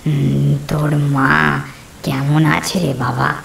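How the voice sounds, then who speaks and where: Woman playing a very creaky old village aunt. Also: level tone, slight background noise, exaggerated voice. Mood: gentle, weary. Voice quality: creaky, low. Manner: slow, evasive, murmuring. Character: frail, gentle old village aunt, secretly dead. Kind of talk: horror cartoon voice acting. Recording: roomy echo, faint rain and thunder.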